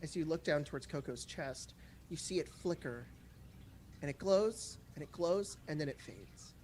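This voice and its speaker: Guy with kinda high voice narrating a dnd game as dm, dramatic descriptions, bit of noise behind. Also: animated, normal speed, a little mellow. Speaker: game master. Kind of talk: improvised tabletop game narration.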